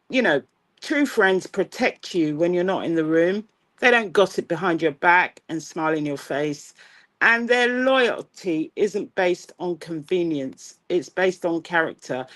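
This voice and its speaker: A woman co-hosting a talk show, speaking in a measured, eloquent and encouraging way about friendship. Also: on the low side. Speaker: co-host. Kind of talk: inspirational talk-show monologue.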